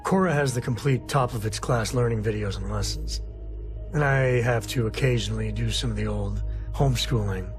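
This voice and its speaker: A man's voice: fairly low pitch, normal speed, faint music behind, lightly acted. A man playing an easygoing, folksy space explorer and single father in a video game. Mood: easygoing, reflective. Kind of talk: video game character dialogue.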